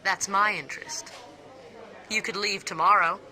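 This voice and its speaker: An actress playing a commanding, wealthy businesswoman in a video game, speaking in a deliberate, dramatic sales pitch. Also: steady tone, bright, forceful tone. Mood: businesslike, coaxing. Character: commanding, wealthy businesswoman offering a dangerous job.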